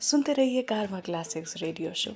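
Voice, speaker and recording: An Indian woman presents a radio show in a conversational, storytelling way. Her voice sits at an ordinary female pitch, with music playing behind her as she speaks directly to listeners. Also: animated, fairly quick, a little mellow. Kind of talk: scripted radio presenting with film trivia and song introductions.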